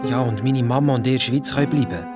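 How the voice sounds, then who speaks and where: A woman's voice: rumbling low, steady tone, fast, loud music behind the voice. A woman playing a blunt, grumbling young boy with a Swiss accent, a detective sidekick telling his side in a children's radio play. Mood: proud, loyal.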